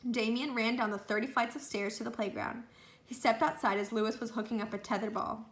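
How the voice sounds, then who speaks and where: A woman reading a children's book aloud as a storyteller, with animated delivery. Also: a little high, a little fast. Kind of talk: read-aloud storytelling narration. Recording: roomy echo.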